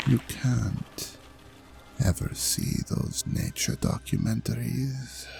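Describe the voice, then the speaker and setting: A man playing an ancient serpent god in an audio drama, seductive, menacing and possessive, coaxing languidly and often dropping into breathy whispers. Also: mid-range voice, fairly bright tone, exaggerated voice. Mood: coaxing, predatory. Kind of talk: slow hypnotic audio drama monologue.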